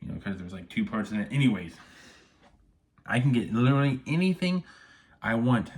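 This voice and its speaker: A man's voice: medium pitch, very animated, fairly quick. A man vlogging casually from a messy home office room with no external microphone, rambling and checking in with "okay?" after many points. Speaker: firearms YouTuber. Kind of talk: casual vlog talk to camera. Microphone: no external mic, camera audio.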